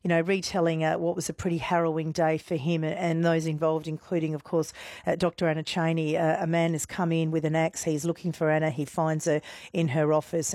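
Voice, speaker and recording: Low-voiced woman radio host, calm. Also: no echo. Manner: calm, gentle, recapping for listeners. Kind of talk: radio interview.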